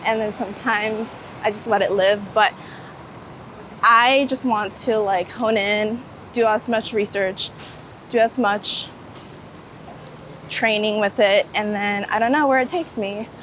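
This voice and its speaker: A woman's voice: medium pitch, steady tone, measured pace, a bit bright, a little hiss or hum. A woman chats casually and enthusiastically in an interview outdoors on a city street. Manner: enthusiastic, informal, peppered with "like". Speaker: street photographer being interviewed. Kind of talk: casual interview chat while walking. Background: street ambience and passing vehicles.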